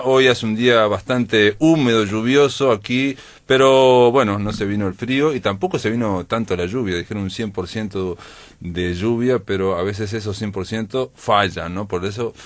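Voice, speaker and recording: A man's voice: fairly low pitch, moderate pace, no echo. A Uruguayan man hosting a radio show, talking in a chatty, friendly way with lots of fillers and backchannels in a studio. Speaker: radio host. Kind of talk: radio interview.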